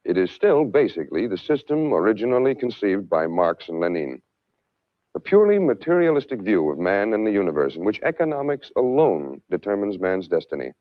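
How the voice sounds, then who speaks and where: An American man narrating a scripted 1960s public information film in a formal, measured, emphatic manner. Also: lowish voice, sing-song, no room sound. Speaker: documentary narrator. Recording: narrow-band vintage film soundtrack, music behind.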